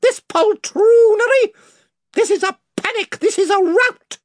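Storybook role: shrill, haughty mouse knight, male, stiffly formal. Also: measured pace, no room sound, clean studio sound. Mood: indignant. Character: valiant proud male talking mouse knight.

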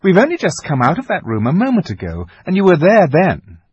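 Male reader playing a brisk, impatient older sister, raised pitch. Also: wildly swooping pitch, a little mellow, breathy edge, dry recording, highs cut off, exaggerated voice. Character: prim scolding older sister.

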